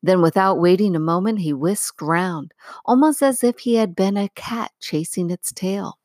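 A woman narrating a storybook as an audiobook reader, with measured, expressive phrasing. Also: on the low side, no echo, studio quality.

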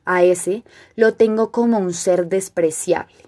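A woman reciting a classical tragedy monologue as a stern, proud king, in a solemn, measured, declamatory manner. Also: on the low side, a little mellow. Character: stern, proud male king addressing elders.

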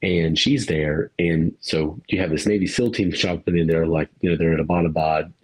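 Low husky man rambling about a movie. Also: fairly even intonation, moderate pace. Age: adult parent. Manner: rambling, laughs often, swears casually. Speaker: podcast co-host. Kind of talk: casual movie review chat.

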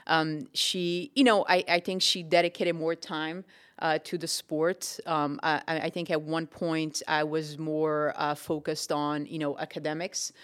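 Woman, smooth and even. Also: deep voice, unhurried. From Portugal. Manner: thoughtful, many ums and restarts. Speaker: tennis coach. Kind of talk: casual interview answers.

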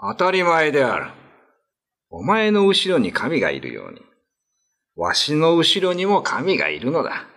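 A man's voice: on the high side, huge pitch swings, moderate pace, fairly bright tone, pitched above the performer's own voice, heavily acted. A man playing a greedy, foolish, ambitious island lord in a radio drama, with pompous, old-fashioned lordly speech. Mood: greedy, scheming.

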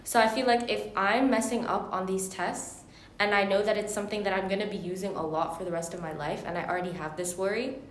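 A woman's voice: steady tone, quick pace. A teenage female student talking casually about her own experience. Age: teens. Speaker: school student. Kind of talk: casual chat. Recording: roomy, faint rumbling noise.

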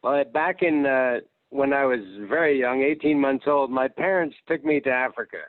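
A man's voice: medium pitch, fairly even intonation, normal speed, no echo. A man in his sixties tells his story plainly and easily, heard over a thin, tinny phone line. The sound is dry, with no room around it. He talks like someone at ease recalling his career. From United States. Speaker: guest. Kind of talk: casual interview talk.

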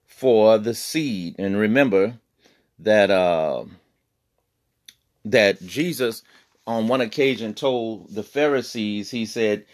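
A man teaching a Bible lesson on a podcast, earnest, with many fillers and restarts. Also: lowish voice. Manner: reads scripture in slow old-fashioned cadence.

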